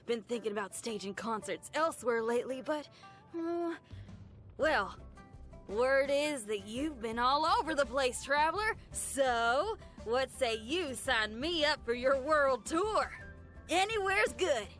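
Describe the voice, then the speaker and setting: A high-pitched, brash young rocker girl from a video game. She talks in a casual, slangy, folksy way, full of enthusiasm and free-spirited friendliness. Faint music plays behind her. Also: lively intonation, measured pace, fairly bright tone. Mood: upbeat, inviting. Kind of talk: video game character voice lines.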